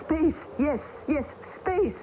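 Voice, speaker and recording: An actress playing a grave, fatalistic woman stranded in the Arctic, speaking with solemn, halting, broken English, in an old radio drama. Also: high voice, animated. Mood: ominous. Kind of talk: 1970s radio drama acting. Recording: hissy, tinny old radio broadcast.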